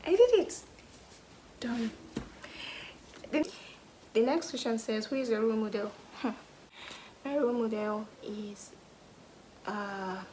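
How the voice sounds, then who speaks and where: A young Nigerian woman answering get-to-know-me questions in a casual vlog, thoughtful, pausing often and sometimes dropping to a whisper. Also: mid-range voice, a little hiss or hum, poor audio. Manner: thoughtful, pauses with "hmm" and "um", drops to a soft whisper at times. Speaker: vlogger.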